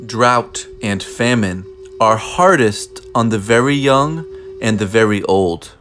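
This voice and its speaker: A man reading a children's picture book aloud in an expressive way, with deliberate, dramatic phrasing. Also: on the low side. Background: music.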